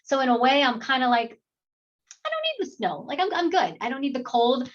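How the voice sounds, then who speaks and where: An actress from New York, chatting in an interview in an animated, talkative way, with many fillers and frequent laughter. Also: female voice, high voice, brisk, dull audio. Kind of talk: casual interview chat.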